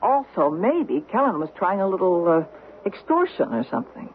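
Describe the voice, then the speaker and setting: An actress playing a skeptical, intuitive young American wife in a radio drama, with a doubting, questioning manner. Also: female voice, lowish voice, sing-song, normal speed, narrow, tinny audio. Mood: suspicious, playful. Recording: thin, hissy old radio recording.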